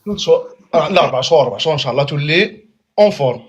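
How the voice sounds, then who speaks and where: A man's voice: on the high side, animated, racing, husky. A man in his thirties with a Moroccan Arabic accent, a chatty caller on a video call who keeps swearing by God. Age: 30s. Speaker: caller on a live stream.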